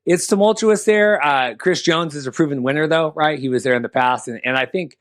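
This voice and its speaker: A man's voice: on the high side, fairly quick, no room sound, studio quality. A man hosting a sports podcast, talking casually in a rambling, conversational way. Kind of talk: casual sports talk with banter.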